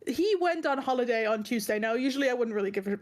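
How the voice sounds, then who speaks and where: A woman's voice: on the high side, expressive, fast talker. A woman in a casual chat, venting in a rambling, emphatic, exasperated way, stressed and upset, swearing as she goes. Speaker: book club stream co-host.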